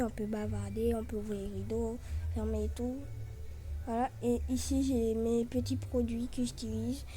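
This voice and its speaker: A woman's voice: fairly high pitch, warm tone. A young woman with a French accent giving a casual room tour, chatty and filling her talk with "genre" and "voilà". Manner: chatty, filler-heavy with frequent "genre" and "voilà". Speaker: vlogger.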